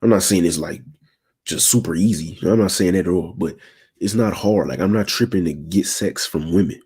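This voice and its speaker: A young man in his twenties with a low, raspy voice, a little strained from a sore throat. He talks to his livestream viewers in loose, slangy asides and keeps checking they follow. His tone is dark and mellow. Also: moderate pace. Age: 20s. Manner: slangy, keeps asking "you feel me". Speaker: channel host. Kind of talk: casual livestream talk to viewers.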